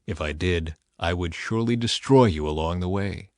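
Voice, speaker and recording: A man's voice: low voice, moderate pace, darkish tone, no echo, voice pushed down, close to an everyday voice. A grave, commanding God, voiced by a male reader, speaks in an audio scripture. The delivery is solemn and authoritative, in a very dry recording. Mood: stern warning.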